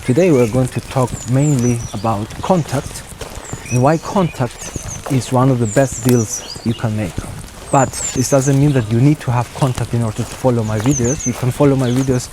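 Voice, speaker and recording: A man's voice: medium pitch, moderate pace. Man explaining casually, noisy outdoor recording. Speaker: video host and music producer. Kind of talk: casual vlog explainer to camera. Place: outdoors, park woodland and street. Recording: outdoor ambient noise.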